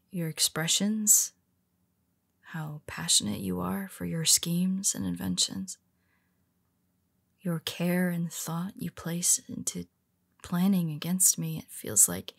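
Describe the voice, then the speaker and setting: A woman voices a nervous young heroine who confesses in a low, husky half-whisper. Her words come slowly and her voice is gravelly. She trips over her own restarts and stays soft-spoken throughout. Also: no echo, studio quality, full character voice. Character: tender, nervous young female superhero. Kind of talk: audio roleplay for a listener.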